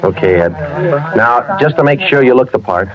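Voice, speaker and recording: An actor playing a terse, businesslike American police detective in a clipped, matter-of-fact 1950s radio crime drama style. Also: male voice, mid-range voice, expressive, moderate pace, crowd noise, lightly acted. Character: terse, businesslike male police detective narrating his case. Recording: dull old radio recording, loud music.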